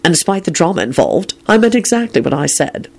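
A woman voicing a cool, dry-humoured male minister who speaks flatly and firmly, in a storybook reading. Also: lowish voice, a little fast, creaky, husky, full character voice.

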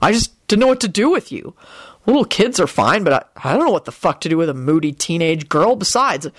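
A woman's voice: on the low side, very animated, brisk, no echo. A sardonic man, read by a woman narrator in a dramatic story. He is blunt and dry, irritable and on the defensive.

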